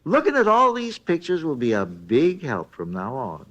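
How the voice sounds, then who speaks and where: An actor playing a chatty, folksy hotel night clerk, rambling and hesitant, in a 1950s radio drama. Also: male voice, a little high, huge pitch swings, moderate pace, fairly bright tone, muffled recording. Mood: musing, helpful.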